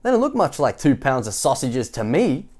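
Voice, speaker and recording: Storybook: man doing a high, sing-song, scatterbrained young woman, cheerful and muddled, a bit sniffly. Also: big pitch swings, normal speed, darkish tone. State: sniffly head cold.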